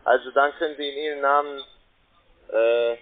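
A man working as a call centre agent, making a halting sales pitch with repeated words over the phone. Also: steady tone. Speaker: call centre agent selling trading investments. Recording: tinny narrowband phone line.

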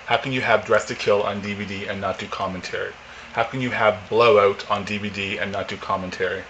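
Forties male vlogger, low monotone, loose rambling delivery, home camera recording with faint hiss. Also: brisk, low-quality recording. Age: 40s. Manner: rambling, many fillers and restarts. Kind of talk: casual unboxing chat. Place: home living room, indoors.

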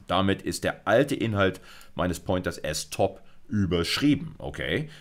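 A middle-aged man with a very low voice gives a technical lecture. His intonation swings widely as he stresses key points. He explains step by step in an informal, emphatic way. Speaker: university computer science lecturer. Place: indoors, recorded screencast.

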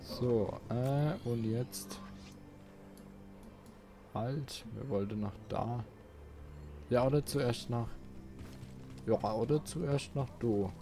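Unhurried male let's player over game music. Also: mid-range voice, vocal fry. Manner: musing aloud, addressing viewers. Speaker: let's play host. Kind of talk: casual gameplay commentary.

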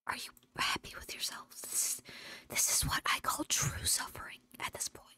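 A woman's voice: normal speed, bright, forceful tone, dry recording. A woman streaming a game, whispering ASMR-style while dramatically complaining about her suffering. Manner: dramatically complaining, self-pitying. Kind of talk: live gameplay stream commentary.

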